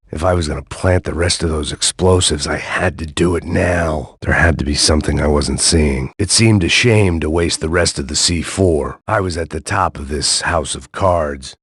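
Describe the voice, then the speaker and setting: An actor playing a weary, cynical hard-boiled ex-cop, delivering dry, sardonic inner monologue as video game voice lines. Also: male voice, a bass voice, breathy edge, no echo.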